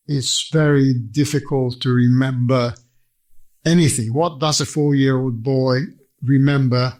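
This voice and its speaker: A man in his eighties with a Hungarian accent tells his personal story as an interview guest, speaking in a measured, formal and articulate way. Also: medium pitch. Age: 80s. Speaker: interview guest, survivor giving testimony.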